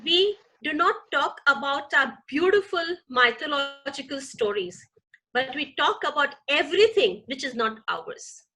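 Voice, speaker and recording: A woman's voice: high-pitched, expressive, unhurried, sharp tone, poor audio. A middle-aged woman with an Indian English accent, a native Gujarati speaker, answering a parenting question earnestly and with repeated emphasis. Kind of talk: answering audience questions in an online session.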